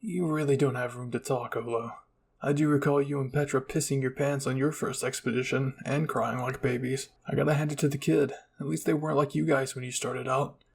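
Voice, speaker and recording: A man's voice: mid-range voice, fairly even intonation, a little fast, creaky, slight background noise, natural voice. A man voicing a teasing, level-headed male soldier in an amateur anime roleplay.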